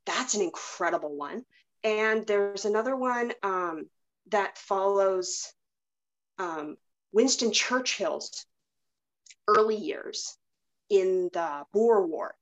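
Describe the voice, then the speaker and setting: A woman's voice: medium pitch, takes it slowly, long pauses, muffled recording. A woman hosting a podcast, chatting casually and telling anecdotes in a rambling way. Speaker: podcast host. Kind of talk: casual chat.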